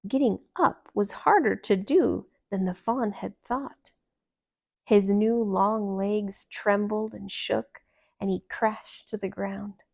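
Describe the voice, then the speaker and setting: A woman reading a children's story aloud in a calm, expressive and gentle narration. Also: mid-range voice, slow pace, creaky, husky. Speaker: storybook reader.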